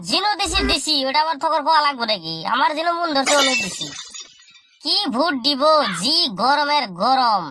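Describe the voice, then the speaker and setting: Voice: sits high, expressive, normal speed, over music. A performer voicing a cheeky, scornful cartoon schoolkid who bickers in rustic slang. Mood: scornful, bickering. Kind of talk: comedy cartoon dialogue in colloquial Bangla.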